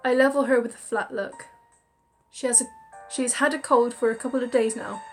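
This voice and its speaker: A woman reading a visual novel aloud with expressive storytelling and chatty asides. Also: a little high, normal speed, soft background music. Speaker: game narrator.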